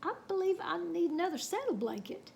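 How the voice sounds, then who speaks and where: A woman's voice: very high-pitched, very animated, a little fast, creaky, slight background noise, voice pushed up, full character voice. A woman voicing a polite, long-suffering cowboy in a storybook, with a courteous folksy drawl. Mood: polite, puzzled.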